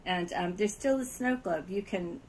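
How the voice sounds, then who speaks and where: A woman's voice: medium pitch, normal speed, noisy background. A woman from California with an American accent, chatting informally and chuckling as she gives a tour of a world she built. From California, USA. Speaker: virtual world builder giving a tour. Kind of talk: casual tour chat.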